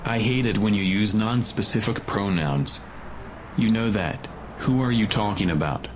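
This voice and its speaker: A man's voice: fairly low pitch, moderate pace, noisy background, natural voice. A man playing a wry, matter-of-fact secret agent and reluctant conspirator in a sci-fi audio drama. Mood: annoyed.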